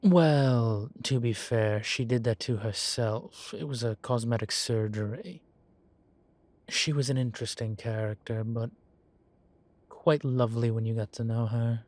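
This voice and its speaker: Slow-talking, teasing demon professor, played by a man. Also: mid-range voice, fairly even intonation, a little mellow, natural voice. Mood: playful explaining. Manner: measured, teasing, formal, wry, with drawn-out pauses. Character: male demon professor, horned, teasing yet composed. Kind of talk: scripted roleplay audio, one side of a conversation.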